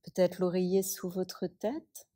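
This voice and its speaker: A woman yoga teacher guiding a yoga nidra relaxation, speaking softly and soothingly with long pauses. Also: on the low side, flat delivery.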